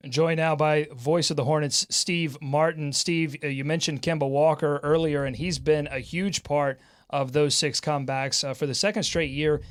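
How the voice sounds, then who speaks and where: Male co-host for a sports interview show, fairly high-pitched voice, prepared questions built on statistics. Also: fairly even intonation, normal speed.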